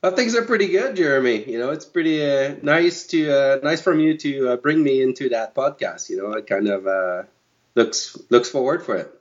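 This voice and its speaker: A man answering interview questions as a guest coach, speaking haltingly with many fillers and restarts. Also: mid-range voice, muffled recording.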